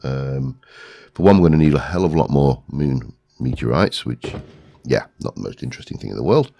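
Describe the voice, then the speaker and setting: A man's voice: extremely low pitch, lively intonation, fairly quick, creaky, warm tone, husky. A man with a stuffy head cold, hosting a gaming video with casual, rambling commentary and lots of ums. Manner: rambling, talks to himself while searching, many ums.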